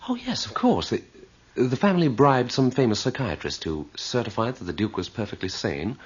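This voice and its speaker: A man's voice: mid-range voice, very animated, normal speed, breathy edge. An actor playing a brash but broke young man in a radio drama, politely hesitant, apologetic and inquisitive. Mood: curious, uneasy. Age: young adult. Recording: dull lo-fi radio recording.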